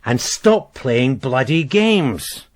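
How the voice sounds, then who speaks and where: An actor playing a blunt, dogged police sergeant in a radio drama, with pointed, probing delivery. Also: male voice, mid-range voice, big pitch swings, extremely slow. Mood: firm, irritated. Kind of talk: naturalistic radio drama acting.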